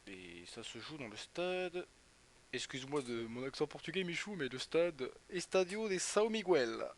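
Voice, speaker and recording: A man's voice: a little high, sing-song, normal speed, a little hiss or hum. A young man from northeastern France streaming live, chatting with viewers by name while casually commenting on a football match. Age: young adult. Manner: rambling, chatty, talks straight to viewers by name. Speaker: Twitch streamer.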